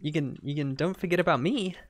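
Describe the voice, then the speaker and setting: Young kiwi guy streaming a farming game, highish voice, goofy back-and-forth with chat. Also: brisk. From New Zealand. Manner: playful, jumps to high squeaky protests when teased.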